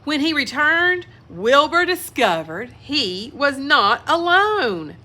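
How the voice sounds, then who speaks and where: A woman's voice: high-pitched, very animated, slow pace, bright, forceful tone. A woman reading a children's picture book aloud expressively, with asides to young listeners. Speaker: children's story reader.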